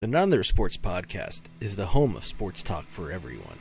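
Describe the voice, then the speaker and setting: A man's voice: sits low, huge pitch swings, brisk, vocal fry, a little mellow. A man reading a scripted podcast promo as an announcer. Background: faint music.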